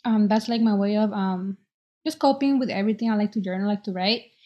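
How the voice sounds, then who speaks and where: A young woman in her twenties from El Salvador, speaking as an interview guest in an earnest, reflective way and pausing often with "um". Her voice sits at a middle pitch, and the recording sounds slightly dull. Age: 20s. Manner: hesitant, many "um"s, earnest and reflective. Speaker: guest, business owner. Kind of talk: casual interview conversation.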